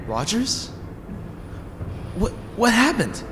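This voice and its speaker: Guy doing a desperate scheming businessman killer, high wild swooping voice, audio drama w music behind. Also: huge pitch swings, slow, full character voice. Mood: feigned surprise, sinister. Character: murderous scheming businessman villain, desperate and defiant. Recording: long hall reverb.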